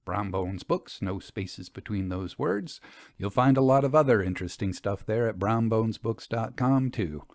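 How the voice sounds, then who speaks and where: Low-key man hosting a story podcast. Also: animated, a little slow, darkish tone, dry recording, studio quality. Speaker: host and story narrator.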